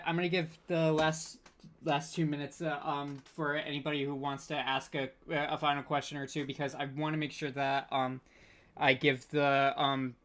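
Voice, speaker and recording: A man hosting an informal online panel, speaking in a halting, scattered and hesitant way. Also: on the high side, fairly bright tone. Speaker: panel host.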